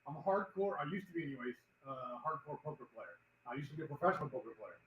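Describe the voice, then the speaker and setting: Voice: medium pitch, lively intonation, fast talker. A speaker with a thick Texan accent telling rambling stories and ranting in a casual livestream chat, with many hesitant fillers. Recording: echoey, slightly dull sound with faint hum.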